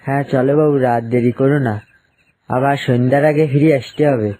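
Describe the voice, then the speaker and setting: A man's voice: mid-range voice, steady tone, fairly quick, dark tone, close to an everyday voice. A man voicing a caring, protective dinosaur father in a children's cartoon. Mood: gentle.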